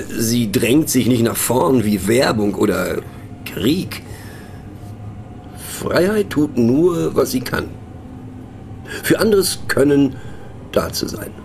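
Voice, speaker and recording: An actor playing a musing, mocking train passenger in a radio play, talking ponderously with hushed asides and a creaky voice. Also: male voice, medium pitch, a little hiss or hum. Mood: musing, wry.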